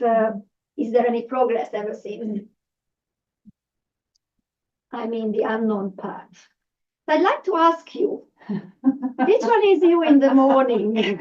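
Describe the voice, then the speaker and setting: A woman with a Hungarian accent and a fairly high voice presents to an audience. She pauses often with fillers and restarts, and her voice sounds dull and lo-fi. She is picked up from some distance away. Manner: hesitant, many fillers and restarts. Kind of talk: public talk to a live audience. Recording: distant, dull lo-fi room recording.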